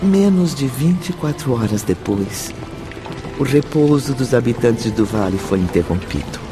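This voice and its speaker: A man's voice: mid-range voice, animated, unhurried. A man reading scripted dramatic narration for a radio drama. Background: music.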